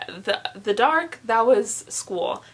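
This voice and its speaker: A young woman in her late teens chatting casually to camera as a book vlogger, rambling with animated, self-deprecating asides. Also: fairly high pitch, takes it slowly. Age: young adult, late teens.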